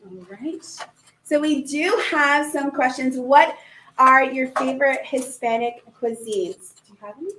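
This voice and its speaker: A woman's voice: high-pitched, steady tone, unhurried, pushed and bright, low-quality recording. A woman leading a live cooking demo in a kitchen, chatty and upbeat, with lots of fillers. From Puerto Rican family. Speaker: cooking instructor.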